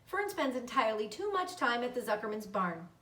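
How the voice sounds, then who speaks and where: A woman's voice: fairly high pitch, very animated, brisk, sharp tone. A woman reading a children's book, voicing a worried, nervous mother with an anxious, questioning manner. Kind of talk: children's book read-aloud. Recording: roomy echo, distant microphone.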